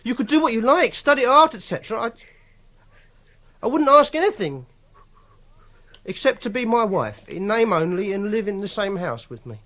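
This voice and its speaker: Awkward, obsessive Englishman, high-pitched. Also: very animated, moderate pace, pauses often, bright, forceful tone, full character voice. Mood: anxious, pleading. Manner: awkward, defensive, fussily self-justifying. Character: socially awkward, obsessive young man holding a woman captive. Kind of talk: radio drama acting and first-person narration.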